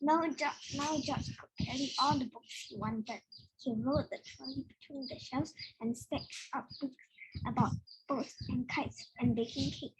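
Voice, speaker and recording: A woman reading a children's picture book aloud in an expressive way, as if to young listeners. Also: sits high, background noise. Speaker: read-aloud storyteller.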